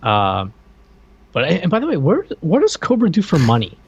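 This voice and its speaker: A man's voice: a little high, huge pitch swings, normal speed, vocal fry, breathy edge. Slightly muffled man chatting, rambling. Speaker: podcast co-host. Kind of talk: casual pop culture chat.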